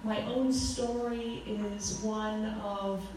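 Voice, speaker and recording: A woman in her forties with a loud voice, giving a fervent talk as a guest speaker at a church, with frequent ums. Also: medium pitch, fairly even intonation, extremely slow, slight background noise. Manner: earnest, fervent, with many ums. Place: church hall. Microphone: handheld microphone. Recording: echoey hall from far off.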